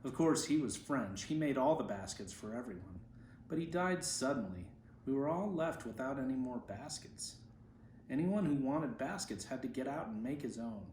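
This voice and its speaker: Aged monk read by a man, seventies, humble and chatty, plain-spoken storybook reminiscing, mid-pitched, roomy sound. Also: lively intonation, normal speed, darkish tone, close to an everyday voice. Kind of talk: storybook reading of quoted speech. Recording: roomy echo, faint background noise.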